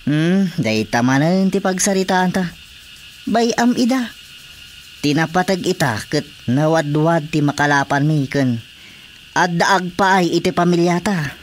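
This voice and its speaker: A man's voice: on the high side, lively intonation, a little slow, a little hiss or hum. A man acting an easygoing, stubborn fisherman husband in an Ilocano-accented radio drama comedy, with a teasing, dismissive manner. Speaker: voice actor.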